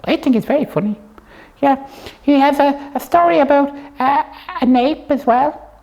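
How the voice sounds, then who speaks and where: A woman's voice: high voice, normal speed, a little mellow, rough recording. A woman presenting a puppet show in casual comic chat, explaining things in a rambling, hesitant way.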